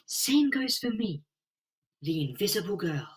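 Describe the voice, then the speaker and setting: A man's voice: high voice, big pitch swings, a little slow, pitched above the performer's own voice, exaggerated voice. A man voicing an anxious, devoted young heroine with pleading, melodramatic delivery in a comic-book reading. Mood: resolute.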